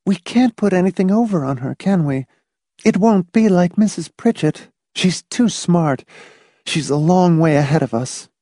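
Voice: mid-range voice, lively intonation, a little slow, mellow, dry recording, studio quality, voice pushed up. A narrator voicing an anxious, pleading wife in an audiobook, with shaky, insistent questioning. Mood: nervously hopeful.

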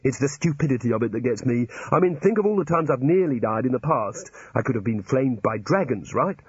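A man's voice: medium pitch, expressive, a little fast, slightly rough, dry recording, muffled recording. A man voicing a gloomy, sardonic wizard in prison.

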